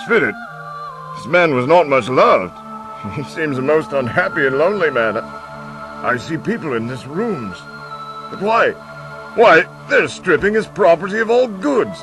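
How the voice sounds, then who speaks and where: A man's voice: fairly high pitch, very animated, measured pace, music in the background, low-quality recording. An actor playing an old miser facing his own repentance in a live radio drama, theatrical and given to stammered repetitions. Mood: somber, uneasy.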